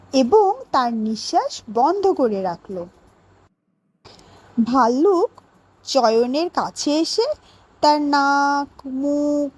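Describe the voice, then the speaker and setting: A woman reading scripted children's stories and questions as a teacher, in a deliberate, instructive way. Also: sits high, a little mellow. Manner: slow, deliberate, teacherly phrasing. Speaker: storyteller and teacher. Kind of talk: scripted children's story narration and classroom questioning.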